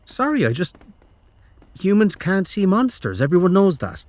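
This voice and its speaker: A quirky, sheepish monster in a horror audio drama. The delivery swings widely in pitch, hesitant yet excitable, like an insecure oddball. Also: male voice, moderate pace. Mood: startled, curious. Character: quirky, sheepish, insecure male monster who loves cats.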